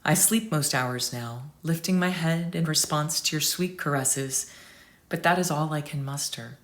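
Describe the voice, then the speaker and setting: A woman reading as a gentle old family dog. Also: low voice. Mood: tender. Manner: soft, tender, slow. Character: gentle aging female family dog, devoted. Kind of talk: storytelling reading.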